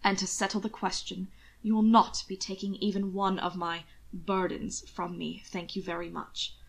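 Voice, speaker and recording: A woman voicing a curt, sardonic male aristocrat in an audiobook story, gruff and clipped. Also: mid-range voice, little pitch movement, moderate pace, rough recording. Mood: bitingly curt. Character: Hannibal, curt, brooding, sardonic aristocratic man, the heir.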